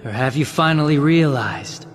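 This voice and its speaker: A man's voice: mid-range voice, lively intonation, slow pace, slight background noise. A man voicing a menacing, taunting villain in an English anime dub. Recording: long reverb, music behind.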